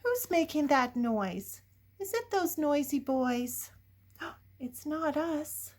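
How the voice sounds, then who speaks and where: A woman's voice: high voice, animated, slow. An older woman doing a playful, dramatic read-aloud of a picture book, putting questions to young listeners. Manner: dramatic, shifting between hushed whispers and loud exclamations. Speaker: children's story reader.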